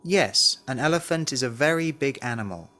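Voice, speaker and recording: A man's voice: mid-range voice, animated, normal speed, pushed and bright. A man reading a scripted language lesson, carefully enunciating model words and sentences. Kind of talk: scripted language drill, read aloud.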